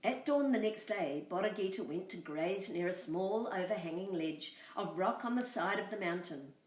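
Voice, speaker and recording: A woman telling a storybook tale to children, expressive and speaking directly to her young listeners. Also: medium pitch, moderate pace. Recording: roomy echo, far from mic.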